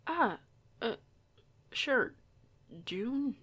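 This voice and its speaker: A woman's voice: high voice, animated, extremely slow, a bit bright, slightly rough, full character voice. A woman playing a young werewolf outsider in an audio roleplay, stammering, sweary and emphatic. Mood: nervous. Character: young female werewolf outsider, flustered, foul-mouthed, fiery.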